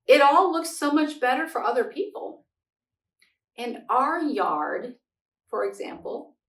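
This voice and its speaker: High-voiced, slow-paced woman reading to children. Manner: expressive, simple explanatory phrasing for children. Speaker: children's read-aloud host. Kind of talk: picture book read-aloud and gentle talk to young children. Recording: echoey room, distant microphone.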